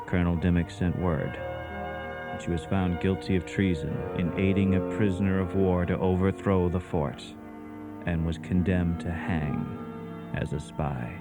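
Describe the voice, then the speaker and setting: A man's voice: sits low, steady tone, measured pace, loud music behind the voice. A man narrating a ghost story for radio theatre in a grave, portentous, scripted style.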